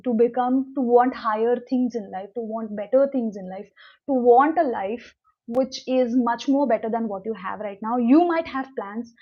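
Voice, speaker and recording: A young woman from India, a Konkani native speaker with an Indian English accent, chatting casually and rambling on a motivational livestream while reading out viewer comments. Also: on the high side. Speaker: livestream host. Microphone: new mic, livestream. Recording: dull lo-fi livestream audio.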